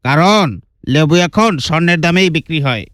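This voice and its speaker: Fast-talking scolding old shopkeeper played by a man. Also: on the high side, lively intonation, fairly bright tone, a little hiss or hum. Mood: incredulous, mocking. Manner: incredulous, scolding. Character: mocking older male vegetable seller. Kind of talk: comic cartoon dub.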